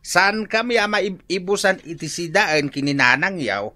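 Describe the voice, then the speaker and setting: A man with a Filipino accent playing an excitable, grumbling old father in a radio comedy drama, exclaiming a lot. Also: sits high, moderate pace. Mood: complaining, boastful.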